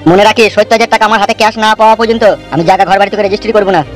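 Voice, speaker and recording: Man voicing a high-pitched, grasping village father. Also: fairly even intonation, racing, fairly bright tone, soft background music. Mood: hard bargaining. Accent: rural West Bengal. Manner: blunt, scolding, coarse. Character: grasping, foul-mouthed village father, bargaining hard. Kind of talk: comic cartoon dialogue.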